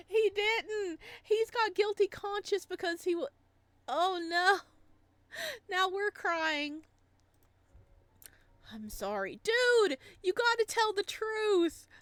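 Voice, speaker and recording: A woman hosting a let's play, casually commenting and reacting with expressive, emotional exclamations. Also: extremely high pitch, slow pace, no echo. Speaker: let's-play host reading a visual novel aloud.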